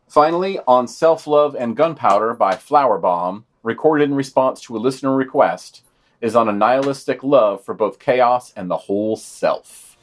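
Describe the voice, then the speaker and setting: A man's voice: mid-range voice, lively intonation, normal speed, a little hiss or hum. A man reading a scripted roundup of articles as a podcast narrator. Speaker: podcast reader.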